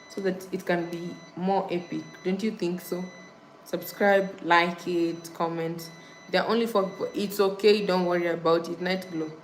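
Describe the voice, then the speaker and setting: A woman's voice: lowish voice, fairly bright tone, slight background noise, poor audio. A young woman from Kenya hosting a casual livestream, reading viewer comments and repeating thanks and subscribe reminders. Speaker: livestream host. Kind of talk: casual live-stream chat with viewers.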